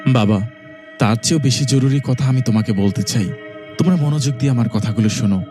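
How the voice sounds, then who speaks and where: A man's voice: medium pitch, fast, over music, close to an everyday voice. A man voicing an anxious, earnest young village son who narrates and acts in a horror cartoon. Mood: urgent, pleading. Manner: earnest, pleading.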